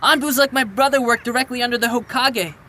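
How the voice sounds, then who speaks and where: A performer playing an eager, loyal little boy ninja in an audio drama, excitable and insistent. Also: mid-range voice, fast, a little hiss or hum, close to an everyday voice. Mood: insistent, admiring. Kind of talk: fan audio drama acting.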